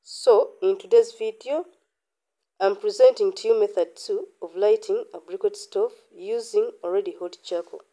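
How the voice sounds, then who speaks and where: A young woman explains a task step by step in a calm, instructive way. Her voice is mellow and warm at an ordinary pitch. It is recorded outdoors on a phone. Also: normal speed. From Uganda. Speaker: channel host. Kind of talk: how-to voice-over.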